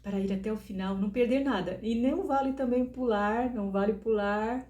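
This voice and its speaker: A woman's voice: a little high, brisk, a little mellow, roomy sound. A woman host casually addressing her viewers to introduce a testimony. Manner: friendly, coaxing, light laugh.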